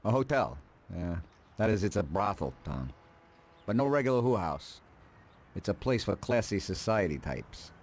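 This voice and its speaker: A low, dry mafia consigliere. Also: male voice, sing-song, darkish tone. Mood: matter-of-fact. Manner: matter-of-fact, dry, deliberate. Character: calm, calculating male mafia consigliere, drily ruthless. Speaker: mob advisor character. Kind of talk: video game voice acting.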